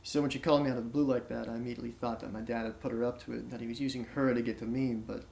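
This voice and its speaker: An actor playing a wary but softening son who recounts events hesitantly in naturalistic, interview-like film dialogue. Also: male voice, mid-range voice, flat delivery, creaky, slight background noise, lightly acted. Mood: guarded. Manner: hesitant, halting.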